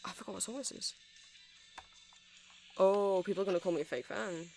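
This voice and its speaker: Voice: medium pitch, brisk, vocal fry, lightly acted. A performer in an ASMR roleplay playing a nerdy, awkward, friendly male vampire music-shop seller who rambles hesitantly and apologetically to the listener. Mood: rambling, helpful.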